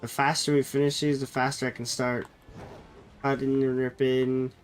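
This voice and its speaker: A man's voice: mid-range voice, level tone, moderate pace, faint music behind, low-quality recording. A man streaming a game while sick with a cold, sounding nasal and chatting loosely with viewers, often correcting himself. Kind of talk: casual live-stream chat.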